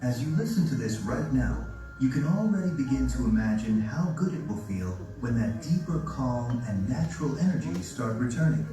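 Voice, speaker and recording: A man's voice: mid-range voice, expressive, moderate pace, recorded from a distance, music in the background. Man narrating a soothing promo. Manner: soothing, persuasive. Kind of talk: scripted ad narration. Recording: video played over speakers in an echoey room.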